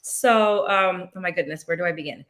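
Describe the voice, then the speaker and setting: A woman's voice: on the low side, a little fast, a bit bright. A woman in her fifties from Puerto Rico, a native Spanish speaker, chatting casually as an interview guest and animatedly acting out her own thoughts. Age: 50s. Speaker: guest, veteran teacher.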